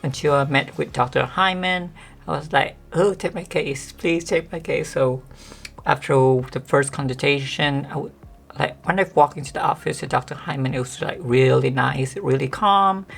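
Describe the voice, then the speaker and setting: A woman vlogger chatting casually to the camera with a monotone, deep voice that sometimes cracks, rambling with many fillers. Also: moderate pace, creaky, husky. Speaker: beauty vlogger.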